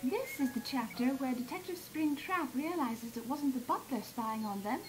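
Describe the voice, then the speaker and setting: A woman's voice: high voice, lively intonation, moderate pace, music in the background, low-quality recording. A woman voicing a refined, flirtatious, slightly vain but kindly female unicorn in a theatrical fan-made audio drama. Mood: chatty, genteel. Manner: genteel, theatrical, coquettish.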